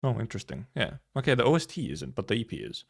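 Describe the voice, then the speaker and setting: A man live streaming in casual chat, rambling and haltingly thinking aloud, with some offhand swearing. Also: mid-range voice, very animated, creaky. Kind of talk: casual chat, reacting to viewers.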